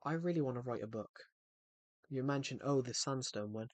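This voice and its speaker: A man's voice: mid-range voice, fairly quick, dry recording. A man chatting casually on a game livestream, rambling and often repeating and restarting his phrases. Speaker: gaming streamer. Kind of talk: casual livestream chat while playing.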